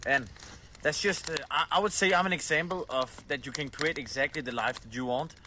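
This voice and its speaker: A man's voice: fairly high pitch, brisk, pushed and bright, a little hiss or hum. A man from Denmark, speaking in an earnest vlog testimonial, plain-spoken with small hesitations. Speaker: outdoor traveler in a clip.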